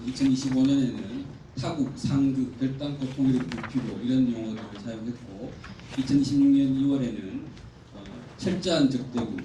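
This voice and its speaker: A man giving a formal, measured academic presentation from slides at a conference, explaining point by point. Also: medium pitch. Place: indoor conference hall. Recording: echoey hall, distant mic, faint noise.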